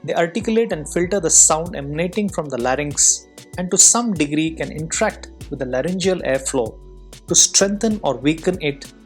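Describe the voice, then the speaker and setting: An instructor speaks in a mid-range voice over background music. The delivery is formal and measured, explaining each point step by step with careful enunciation. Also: mid-range voice, expressive. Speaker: soft skills instructor and public speaker.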